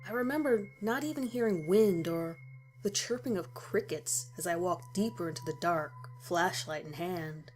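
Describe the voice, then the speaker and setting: A woman's voice: on the low side, normal speed, faint music behind. A woman reading a scripted first-person horror story as its narrator.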